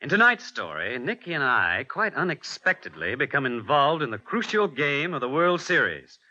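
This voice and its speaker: Bright-voiced suave gentleman detective. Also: mid-range voice, sing-song, normal speed, full character voice. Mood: composed. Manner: polite, polished. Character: suave gentleman detective hosting his mystery show. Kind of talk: 1940s radio hosting. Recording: dull old radio recording.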